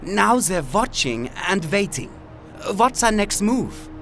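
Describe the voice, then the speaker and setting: Guy doing playful german demon-like mutant hero, bright swoopy voice, audio drama. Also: high-pitched, very animated, slow pace, slight background noise, full character voice. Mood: alert.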